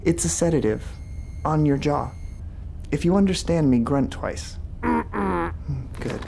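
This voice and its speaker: A man playing a lonely, philosophical keeper of a space outpost in a sci-fi audio drama, speaking in measured, lyrical monologue. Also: on the high side, creaky, warm tone, a little hiss or hum. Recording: music bed under the voice.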